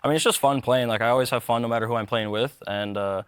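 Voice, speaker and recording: A man's voice: mid-range voice, fast talker, clear, resonant tone, no echo, studio quality. Chill young man rambling through fillers. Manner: relaxed, rambling with many fillers. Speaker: pro esports player. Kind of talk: post-match interview.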